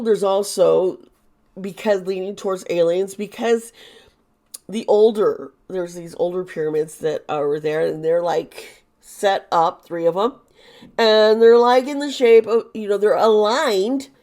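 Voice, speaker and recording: A woman with a hoarse voice who is still sick and losing it. She talks slowly in a low register. Her delivery is a loose, rambling chat with dry sarcastic asides. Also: a little mellow. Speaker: tarot reader. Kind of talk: casual monologue.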